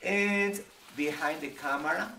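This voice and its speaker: A man's voice: a little high, measured pace, vocal fry, sharp tone. A middle-aged man from Ecuador, a native Spanish speaker with an Ecuadorian accent, giving friendly travel-packing advice to camera and often checking in with "okay?". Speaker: travel guide. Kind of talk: casual explainer to camera. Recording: roomy sound from a distance, faint ambient noise.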